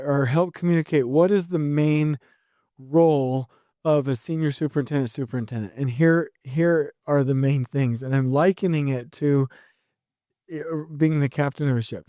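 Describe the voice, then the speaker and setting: A man's voice: a little high, clean tone, dry recording, studio quality. Upbeat male podcaster, slightly scratchy throat. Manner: energetic, rambling, many restarts. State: sore throat, a bit scratchy. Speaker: podcast host. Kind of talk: solo podcast monologue.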